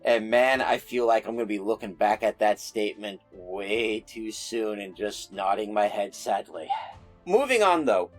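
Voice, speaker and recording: A man's voice: fairly low pitch, expressive, moderate pace, soft background music. A man narrating folklore in a casual storytelling style, with wry joking asides.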